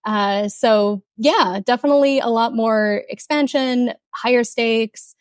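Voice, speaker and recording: A young woman from Kuwait, an author talking casually in an interview, chatty with many fillers and soft laughs. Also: on the high side, slow pace, smooth, no echo, studio quality. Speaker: author guest.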